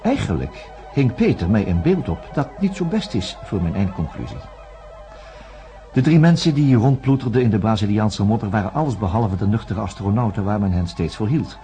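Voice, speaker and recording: An earnest, troubled investigating doctor in a radio play. He speaks formally and deliberately with lively, wide-moving intonation, over some music. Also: lowish voice. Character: earnest, troubled male doctor investigating astronauts, indignant when challenged. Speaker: radio drama actor and narrator. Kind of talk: radio play acting with first-person narration.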